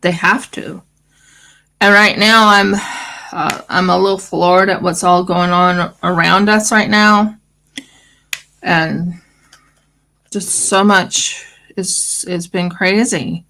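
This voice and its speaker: Australian woman, craft-stream host, gravelly scratchy voice, loose rambling chat. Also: lowish voice, fairly even intonation, slow, long pauses, bright, forceful tone. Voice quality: scratchy morning voice. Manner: rambling, fillers, restarts. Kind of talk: casual livestream chat.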